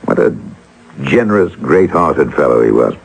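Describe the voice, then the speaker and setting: An actor playing a reflective older gentleman, once a starving artist, telling his tale in a deliberate, theatrical way for a vintage radio drama. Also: very deep voice, big pitch swings, gravelly, husky, full character voice, low-quality recording. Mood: nostalgic. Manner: deliberate, theatrical, pausing for effect.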